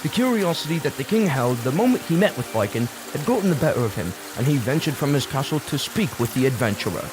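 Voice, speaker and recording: A man's voice: mid-range voice, animated, quick pace, hardly any pauses. A man narrating a scripted fantasy audio drama as a storyteller. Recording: prominent music bed behind.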